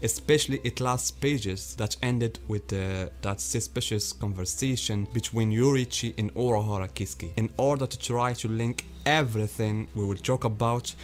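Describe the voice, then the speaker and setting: A man's voice: fairly low pitch, normal speed, few pauses. A man with foreign-accented English, hosting his own channel with scripted video commentary and speaking directly to his viewers. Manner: explanatory, addresses viewers directly. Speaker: anime theory YouTuber.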